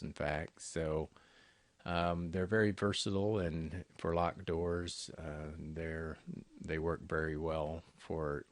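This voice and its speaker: Male product presenter, low register, conversational and slightly halting delivery, close dry sound. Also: no room sound. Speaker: intercom company salesperson presenting products. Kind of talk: unscripted product walkthrough over screen recording.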